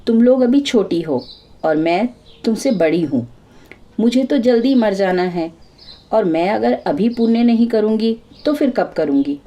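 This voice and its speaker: A narrator as a mellow, pious old mother-in-law. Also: mid-range voice, expressive, measured pace. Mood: pious, instructive. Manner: moralizing, affectionate. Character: kindly elderly mother-in-law, pious and caring.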